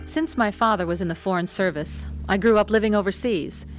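A woman's voice: mid-range voice, brisk, over loud music, natural voice. An actress playing a grown woman recalling her own spiritual search in a radio drama, thoughtful and reflective. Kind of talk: radio drama narration and acting.

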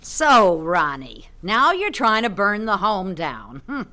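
An actress playing a cruel, haughty reform school matron in a radio drama, scornful and quick to anger. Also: female voice, mid-range voice, sing-song, pushed and bright, exaggerated voice. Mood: sneering, menacing.

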